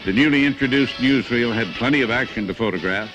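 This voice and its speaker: Male narrator for a history film, scripted delivery, medium pitch, music clearly underneath. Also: quick pace, dull audio. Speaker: documentary narrator.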